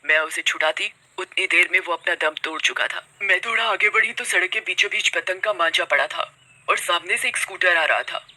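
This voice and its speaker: Woman voicing a sharp, menacing vengeful witch. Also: on the low side, fairly quick. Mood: sorrowful, bitter. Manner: menacing, taunting. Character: vengeful female witch who turns kite-flyers into kites.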